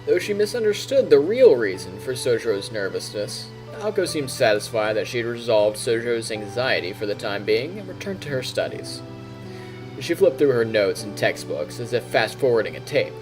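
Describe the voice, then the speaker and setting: A man narrates a visual novel in an animated voice that moves up and down freely. He reads like a storyteller on a stream and drops in casual asides. Music plays behind him. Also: mid-range voice, normal speed, low-quality recording.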